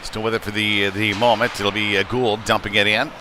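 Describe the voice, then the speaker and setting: A man giving live play-by-play commentary on ice hockey in a halting way, with frequent pauses. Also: on the low side, level tone. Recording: noisy live rink broadcast.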